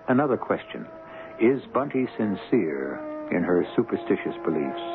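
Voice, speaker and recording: An American man hosting a radio mystery series, narrating from a script in a measured, portentous, theatrical way. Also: sits low, creaky. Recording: tinny 1970s radio broadcast, music behind.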